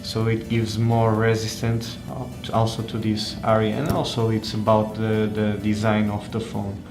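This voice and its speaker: A man presents a product hands-on with a flat, unhurried delivery. He speaks haltingly, searching for words and repeating them as he goes. The sound is noticeably roomy. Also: on the low side, over music. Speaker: tech reviewer presenting a phone. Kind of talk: unscripted hands-on product review.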